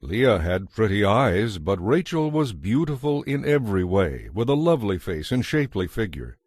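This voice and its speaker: Male scripture narrator, lower register, dry studio closeness, measured and dramatic scripted delivery. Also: big pitch swings, darkish tone, slightly rough, no room sound.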